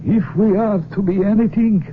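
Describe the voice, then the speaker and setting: An actor playing an earnest, courtly, pious foreign doctor in a 1970s radio drama, speaking with deliberate emotion. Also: male voice, steady tone, close to an everyday voice. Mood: wistful, reverent. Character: earnest, self-sacrificing foreign doctor, a secret father. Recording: muffled old radio broadcast, rumbling noise.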